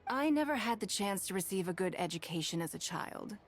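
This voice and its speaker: A woman's voice: mid-range voice, expressive, natural voice. A woman voicing a shrewd, poised noblewoman in an anime game, composed and formal, firm but kindly. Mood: reflective. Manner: composed, formal, measured authority. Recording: music behind the voice.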